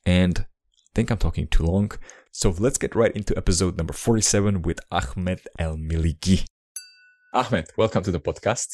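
A man with a very deep voice hosts a podcast and asks his guest questions in a friendly, curious way. His voice sounds close and dry, with no room sound. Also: normal speed, a little mellow, no echo. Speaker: podcast host. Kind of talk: interview hosting.

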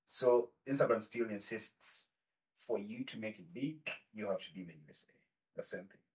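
A man's voice: fairly low pitch, normal speed, darkish tone. A man hosting a podcast who asks loose, hesitant questions full of fillers. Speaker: podcast host. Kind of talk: casual interview. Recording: distant from the mic.

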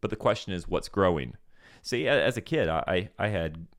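Male Bible teacher, low voice, earnest preaching cadence that climbs for emphasis, close dry mic. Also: expressive, normal speed, no room sound. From Senegal. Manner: earnest, repeats phrases, rises for emphasis. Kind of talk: sermon-style Bible teaching.

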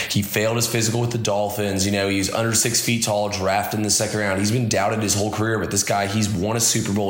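A young man, slightly hoarse and raspy from yelling, hosting a casual sports talk show with rapid, opinionated fan commentary. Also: lowish voice. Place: small echoey studio room. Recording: echoey room.

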